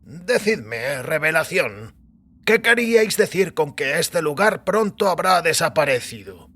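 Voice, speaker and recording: Male actor as weary aging priest, husky, somewhat high-pitched, courteous archaic phrasing, steadfast, audio drama. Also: big pitch swings, a little slow, pushed and bright, heavily acted. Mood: resolute. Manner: formal, courteous, archaic address.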